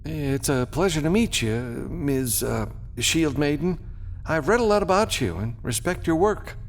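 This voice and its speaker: A man's voice: a little high, lively intonation, unhurried, slight background noise, voice pushed up. A man voicing a nervous, eager, starstruck deputy captain in an audiobook, stammering and deferential. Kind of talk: audiobook character voice.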